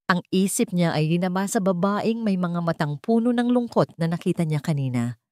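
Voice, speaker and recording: Female story narrator, Filipino accent, low register, dry recording, scripted dramatic delivery. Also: expressive, normal speed, mellow, no room sound.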